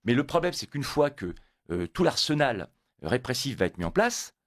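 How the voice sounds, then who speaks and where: A man's voice: medium pitch, very animated, normal speed, no echo, studio quality. A man born in Italy, a guest on a radio interview, talking emphatically and repeating words when pressed. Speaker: guest, motorcyclists' federation coordinator.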